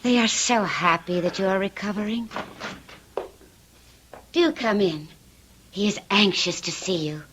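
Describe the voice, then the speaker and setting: An actress playing a devoted, anxious young sweetheart in an old-time radio drama, tender and melodramatic in manner. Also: female voice, mid-range voice, lively intonation, measured pace, pauses often, a bit bright, a little hiss or hum. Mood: gentle. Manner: tender, breathless endearments, melodramatic.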